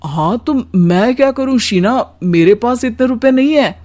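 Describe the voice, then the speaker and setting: A woman's voice: fairly high pitch, lively intonation, a little slow, a little mellow, voice pushed up. A woman voicing a weary, put-upon husband in a cartoon story, plaintive and sighing. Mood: tired, annoyed.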